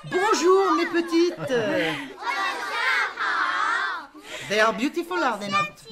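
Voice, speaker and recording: An actress playing a gracious, gently reproving French Mother Superior in a radio drama, speaking formally and with measured courtesy. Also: very high-pitched, very animated, very noisy. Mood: tender.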